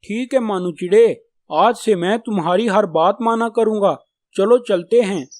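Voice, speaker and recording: A man's voice: high-pitched, a little slow, a little mellow. A man voicing a stubborn, greedy young male crow in a children's cartoon, with whiny, headstrong childlike talk. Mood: eager. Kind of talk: Hindi children's cartoon dubbing.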